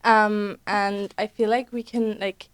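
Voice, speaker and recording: A teenage girl from Germany, an exchange student, answering interview questions in a relaxed, chatty way. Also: mid-range voice, takes it slowly, smooth. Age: teens. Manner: relaxed, chatty, easy laughs.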